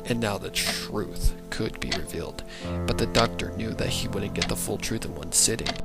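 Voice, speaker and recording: A man's voice: fairly low pitch, moderate pace, gravelly. A man reading a scripted dramatic story as its narrator. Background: loud background music.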